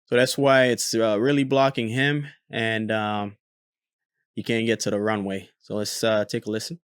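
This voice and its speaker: A man's voice: on the low side, normal speed, clear, resonant tone, no echo, studio quality. Man hosting an aviation channel. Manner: relaxed, frequent filler "uh". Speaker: host, former military air traffic controller. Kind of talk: casual commentary on radio recordings.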